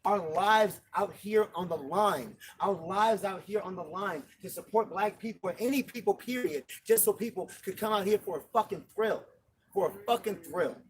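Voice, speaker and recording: A man's voice: sits high, moderate pace. A man giving an impassioned, profane speech to fellow marchers outdoors at night, hammering his points with repeated emphasis. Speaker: protest marcher. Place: outdoors at a night street protest.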